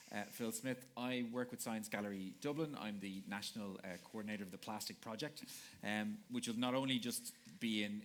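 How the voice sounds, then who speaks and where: A fast-talking man moderates a live panel and pads his jokes with fillers and restarts. His voice sits fairly low, and a faint noise hangs behind him in the event hall. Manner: joking, rambling with many fillers and restarts.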